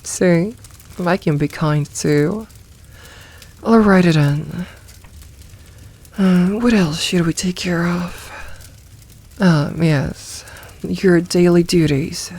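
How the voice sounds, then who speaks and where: A woman voicing a possessive, teasing, dominant demoness in a soft, intimate ASMR roleplay, commanding and deliberate. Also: fairly low pitch, breathy edge, heavily acted. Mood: firm, indulgent.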